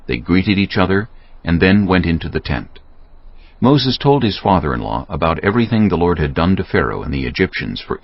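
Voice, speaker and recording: Male narrator for scripture audio: deep, solemn, measured, slightly muffled recording. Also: husky, no room sound.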